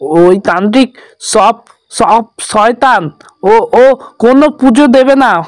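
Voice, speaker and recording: A man's voice: high voice, slow, no room sound. A man voicing a worried young village man urgently warning neighbours in a horror cartoon. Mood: alarmed. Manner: urgent, pleading.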